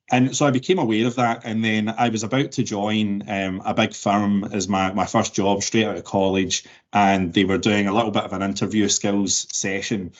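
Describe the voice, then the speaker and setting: Scottish man, 40s, softened Glasgow accent, low voice, interview guest, muffled recording. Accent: Glaswegian Scottish, softened. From Scotland. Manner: thoughtful, frequent word repeats and fillers. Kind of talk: conversational interview answers.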